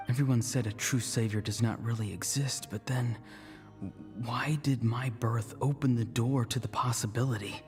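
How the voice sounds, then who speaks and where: A man's voice: mid-range voice, fairly even intonation, normal speed, darkish tone, lightly acted. A man playing an earnest, troubled young boy in a video game, pensive, with music behind. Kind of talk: scripted video game acting. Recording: long reverb with game music.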